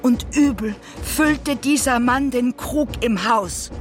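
A murderous mythic queen in a radio tragedy, with music behind her. Her delivery is solemn and declamatory, drawn out very slowly in a highish voice. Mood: grim triumph.

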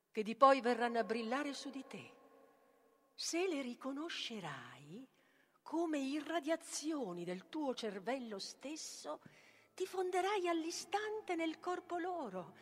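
A solemn mystic woman intones rites for the dead as avant-garde theatre. Her voice is fairly high and very unhurried, with incantatory, drawn-out phrasing. A long hall reverb surrounds every word. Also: full character voice. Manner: incantatory, drawn-out, swinging from whispers to loud declamation. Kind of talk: theatrical poetic recitation, avant-garde stage reading.

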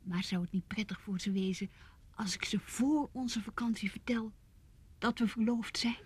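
High, breathy insistent young fiancée. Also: female voice, moderate pace, dry recording. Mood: coaxing. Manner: pressing, coaxing. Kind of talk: Dutch radio play acting.

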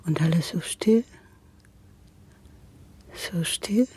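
A woman playing a dead plague-time washerwoman in a radio play, speaking in a breathy, hushed, lingering way. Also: on the low side, extremely slow, full character voice. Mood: hushed, eerie. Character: dead washerwoman recalling a silent, emptied city.

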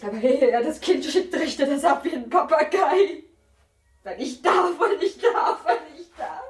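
A high female voice carries in an echoing space, set back from the microphone. a distraught young wife in a radio play, halting and raw, full of bitter disgust and defiance. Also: lively intonation, recorded from a distance, faint music behind. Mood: sobbing disgust. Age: young adult. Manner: anguished, halting, emotionally raw. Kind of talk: German radio play acting.

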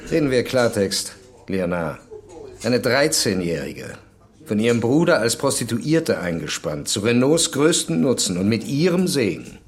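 A man's voice: medium pitch, very animated, normal speed, lightly acted. An actor playing a commanding police commissioner in a radio drama, terse and controlled as he applies pressure. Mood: pressing, controlled.

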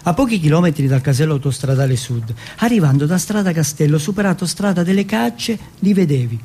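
A man from Turin performing an autobiographical theatrical monologue, telling it in measured short phrases and lists. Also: on the high side, expressive, warm tone, slightly rough. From Turin, Italy.

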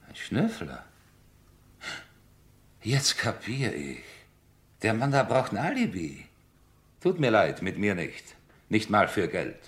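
A man playing a gruff, suspicious witness in a radio crime drama, curt, grudging and defensive. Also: lowish voice, wildly swooping pitch, slow, pauses often, heavily acted. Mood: suspicious, defiant.

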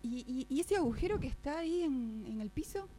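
A woman acting a doubtful, stubborn flat buyer who haggles and repeats herself, with an Argentine accent, in an improvised radio drama. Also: high voice, brisk. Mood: doubtful, insistent. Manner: repeats words insistently. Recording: faint mains hum.

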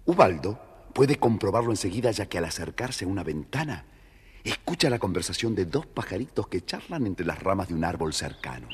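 A man's voice: deep voice, sing-song, brisk, a little hiss or hum. A man narrating a scripted children's story in a theatrical, playful way. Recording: reverberant studio, music behind.

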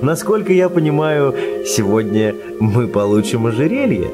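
An actor voicing a cheerful, gently mocking gentleman host in a radio play. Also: medium pitch, sing-song, normal speed, lightly acted. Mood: jovial. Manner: jovial, teasing. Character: cheerful, mocking man of leisure, tired host. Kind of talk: Russian radio play acting. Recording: loud music behind the voice.

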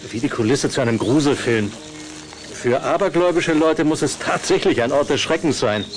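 A man's voice: mid-range voice, lively intonation, moderate pace, lightly acted. A man playing a cheerful, unworried, teasing middle-aged father in a German audio drama. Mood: delighted. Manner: teasing, enthusiastic, chuckling. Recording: noisy old audio drama recording.

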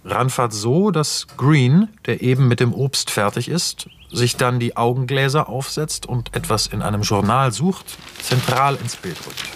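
A man's voice: medium pitch, lively intonation, measured pace, hardly any pauses, a little hiss or hum, natural voice. A man playing a sober narrator who reads screenplay stage directions in a radio play, factual and clipped. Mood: matter-of-fact.

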